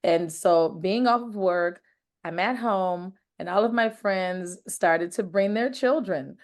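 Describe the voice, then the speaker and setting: A woman's voice: on the low side, takes it slowly. A woman from Michigan, a guest on a podcast, friendly as she tells her story. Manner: friendly, laughs lightly while telling her story. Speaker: interview guest, child care owner. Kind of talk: casual podcast interview.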